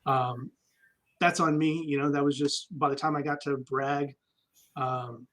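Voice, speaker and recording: A man's voice: on the high side, steady tone, measured pace, fairly bright tone. A man, an army veteran guest, telling stories candidly and reflectively in a casual interview, with frequent ums.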